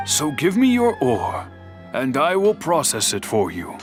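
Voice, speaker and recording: A man playing an old, patient master swordsmith in a video game, speaking in a measured, formal, lecturing way. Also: a little high, huge pitch swings, faint music behind. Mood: calm. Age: older.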